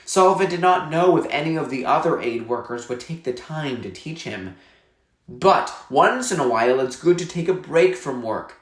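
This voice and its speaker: A man's voice: on the high side, expressive, normal speed. Man reading a story aloud, echoey room. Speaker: reader reading a novel aloud. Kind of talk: scripted narration, read-aloud storytelling.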